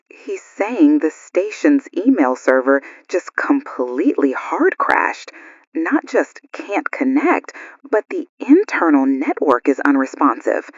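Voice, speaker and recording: Actress as concerned female radio host, husky, lively pitch, alert, audio drama broadcast. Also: no echo, highs cut off, full character voice.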